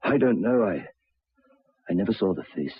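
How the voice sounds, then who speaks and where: An actor playing a troubled, educated railway signalman in an old radio drama, speaking haltingly and repeating his words. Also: low voice, expressive, slightly rough, dry recording, full character voice. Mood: haunted. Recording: tinny, band-limited old radio recording.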